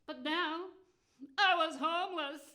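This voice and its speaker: A woman's voice: very high voice, unhurried, pushed and bright, full character voice. A woman playing a spiteful, gleeful, foul-mouthed witch who tells comic fairy tales in a theatrical, cackling manner. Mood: spiteful, aggrieved. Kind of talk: comic storytelling monologue.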